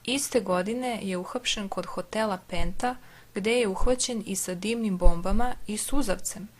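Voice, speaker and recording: A woman from Serbia with a Serbian accent, reading a text aloud and translating it, halting as she searches for words. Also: medium pitch. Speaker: video narrator.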